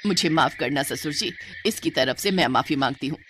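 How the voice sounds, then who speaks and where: An exasperated older mother-in-law from an animated family tale, acted out by a woman. Her voice sits low, and she speaks as a long-suffering elder of the household. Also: brisk, a little hiss or hum. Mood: apologetic. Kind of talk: animated moral story dialogue.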